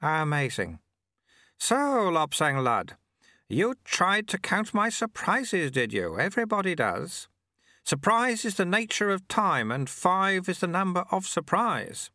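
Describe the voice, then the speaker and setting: A little old monk, played by a male narrator, cheerful and modest. His voice sits high and swings freely up and down, with a bright edge. He teases gently in a breezy, wry storybook manner. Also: big pitch swings, measured pace, no room sound, studio quality, full character voice. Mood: amiable, amused.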